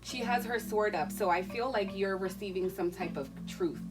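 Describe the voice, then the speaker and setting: A woman's voice: mid-range voice, moderate pace. A woman giving a conversational, explanatory tarot reading as a guest reader, often repeating and restarting her phrases. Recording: distant mic with clear background music.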